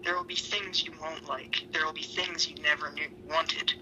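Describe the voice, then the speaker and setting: A man reads the part of an imperious, seductive god from fan fiction, menacing and deliberate. The voice is breathy and bright, with faint noise behind it. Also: fairly high pitch, fairly even intonation, rough recording. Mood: ominous, seductive. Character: dark-eyed male deity, commanding, seductive and menacing.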